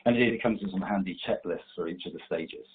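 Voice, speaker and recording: A man with a cold, sounding stuffy, giving a steady, explanatory slide presentation in a webinar. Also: deep voice, fairly quick, slight background noise. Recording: tinny online call audio.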